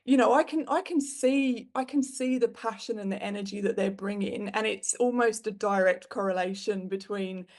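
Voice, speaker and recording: A woman's voice: medium pitch, normal speed, few pauses. Chatty British woman telling her story. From United Kingdom. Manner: frank and animated, with frequent fillers. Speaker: guest. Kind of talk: candid conversational storytelling.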